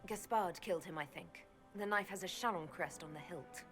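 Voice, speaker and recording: An actress playing a composed, wry elven heroine in a fantasy game, with a dry, questioning manner. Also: female voice, on the low side, moderate pace, a bit bright, big-room sound, faint music behind, natural voice. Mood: matter-of-fact. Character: cool, wry elven woman inquisitor. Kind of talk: dramatic game dialogue.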